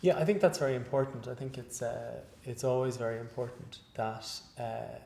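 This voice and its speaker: A male researcher giving an interview after a lecture, speaking in a measured, hesitant way with many fillers and restarts. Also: mid-range voice, steady tone, vocal fry, warm tone, a little hiss or hum. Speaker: guest researcher. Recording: echoey room.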